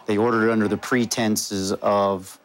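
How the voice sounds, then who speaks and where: A man's voice: fairly low pitch, little pitch movement, moderate pace. A male sheriff's deputy explaining an undercover operation and informing a suspect of an arrest in a matter-of-fact, procedural way, in a hotel room.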